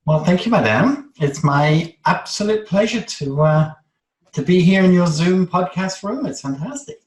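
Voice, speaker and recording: A man's voice: high-pitched, lively intonation, a little slow. A man with a British accent telling personal stories conversationally as an interview guest. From Isle of Man, UK. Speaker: interview guest, social media expert. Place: online video call in a room. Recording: roomy, echoey video-call sound.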